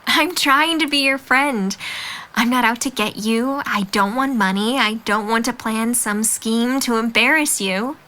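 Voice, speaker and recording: Bright, earnest young woman, played by an actress. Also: fairly high pitch, measured pace. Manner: patient, reassuring, sincere. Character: kind, earnest, encouraging young female friend. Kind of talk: audio drama dialogue.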